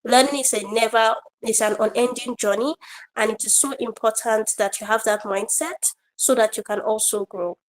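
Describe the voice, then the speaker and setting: A woman trainer hosting an online feedback session, talking casually with many fillers, her voice a bit croaky today. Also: on the high side, fairly even intonation, normal speed, low-quality recording. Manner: warm, rambling, with fillers and laughs. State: slightly croaky voice. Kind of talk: casual online class talk. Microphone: online video call.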